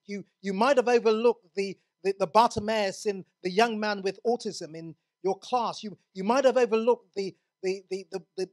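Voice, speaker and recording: A man from England preaching a sermon in a church, earnest, often restarting his words. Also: high voice.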